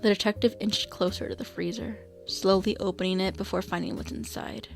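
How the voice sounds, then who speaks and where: A woman's voice: mid-range voice, steady tone, brisk, gravelly, faint music behind. A woman reading scripted narration for a radio drama.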